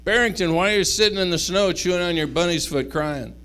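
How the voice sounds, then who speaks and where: A man playing a wise, gentle wolf. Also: sits high, fairly quick, clean tone, voice pushed up. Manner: calm, gentle, reassuring. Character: wise, magnificent male wolf, calm and kind.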